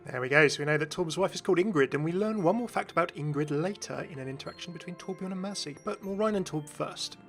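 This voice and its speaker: A man's voice: on the high side, fast talker, creaky, few pauses, a bit bright. A man hosting informal scripted video game commentary. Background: faint background music.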